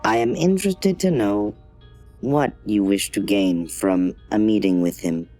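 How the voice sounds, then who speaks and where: A man voicing a formal, emotionless artificial intelligence in a sci-fi audio drama, polite and measured. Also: on the low side, dark tone, soft background music, lightly acted. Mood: polite, unmoved. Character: polite, detached trauma-assistance artificial intelligence serving its company.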